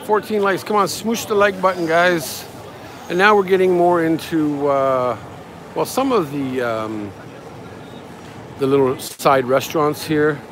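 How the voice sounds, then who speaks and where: A man's voice: fairly high pitch, a little slow, a bit bright. A man giving an offhand, rambling walking-tour commentary as a travel vlogger, talking casually as he goes. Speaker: live-streaming travel vlogger and guide. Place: busy outdoor market street. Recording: outdoor street with crowd and traffic. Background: street crowd, passing vehicles.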